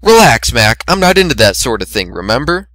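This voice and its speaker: A man's voice: medium pitch, big pitch swings, brisk, a bit bright, dry recording. A man voicing a brash young female flying pony in a story, with a breezy, teasing manner.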